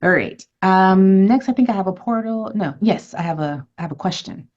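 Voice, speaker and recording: A woman's voice: medium pitch, lively intonation, moderate pace, a little mellow, lo-fi sound. A woman physician giving an educational webinar to patients, friendly and conversational as she answers questions and runs polls.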